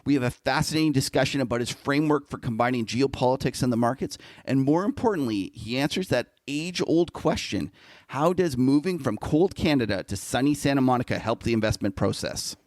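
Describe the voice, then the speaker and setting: A man co-hosting a casual interview podcast, talking in an easygoing, halting way that often restarts sentences. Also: mid-range voice, lively intonation, a little mellow, no echo.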